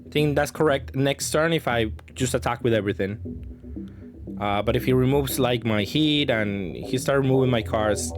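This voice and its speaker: A man giving casual gameplay commentary, thinking aloud in a hesitant way as he plays. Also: mid-range voice. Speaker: card game player presenting match commentary. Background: music.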